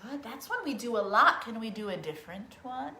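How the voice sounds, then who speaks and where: Bright, high-voiced woman leading children's storytime. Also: expressive, fairly quick, slight background noise. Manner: encouraging, coaxing, asks young listeners simple questions. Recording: roomy, echoey room sound.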